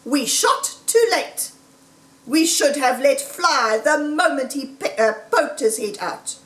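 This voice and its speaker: A woman's voice: sits high, animated, takes it slowly, fairly bright tone, full character voice. A woman voicing frustrated, grumbling male farmer villains in a children's storybook, emphatic and exasperated. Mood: frustrated, gruff. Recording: roomy echo, distant microphone.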